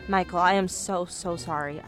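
A woman's voice: lowish voice, fairly even intonation, normal speed, over music, natural voice. A woman playing an effusive, apologetic young student on a date in an audio drama, repeating her words for emphasis. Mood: flustered, apologetic.

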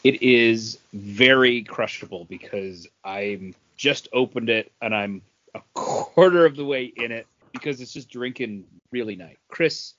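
Male podcast co-host, relaxed conversational delivery, medium pitch, dull lo-fi audio. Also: a little slow. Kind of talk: casual chat, describing drinks and comics.

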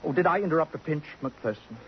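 An actor, a man, playing an arch, witty, affected society gentleman in a theatrical 1950s radio drama style. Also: on the high side, animated, quick pace, lightly acted. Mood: sardonic, playful. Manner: affected, pompous, sardonic. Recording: dull, hissy old radio recording.

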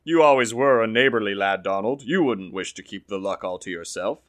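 A greedy, preachy neighbor, as a man reads him, coaxing in a bright, animated voice. He moralizes in a storybook reading. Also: fairly low pitch, brisk, pitched below the performer's own voice. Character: greedy sanctimonious male neighbor.

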